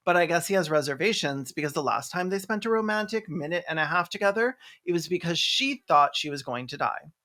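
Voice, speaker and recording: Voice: medium pitch, expressive, brisk, few pauses, sharp tone. A person giving a sarcastic, sweary comedic commentary on a film. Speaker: video essayist and host.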